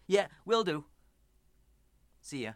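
A slick radio play preacher, persuasive salesman type, highish lively voice. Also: takes it slowly, no room sound, studio quality, full character voice. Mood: excited, persuasive. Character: eager, smooth-talking evangelical pastor with an uneasy conscience. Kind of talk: radio drama acting.

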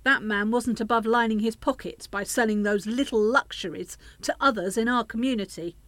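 A woman's voice: a little high, moderate pace, fairly bright tone, dry recording, close to an everyday voice. A woman playing a bitter, harsh older widowed mother in a radio play. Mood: bitter, outraged.

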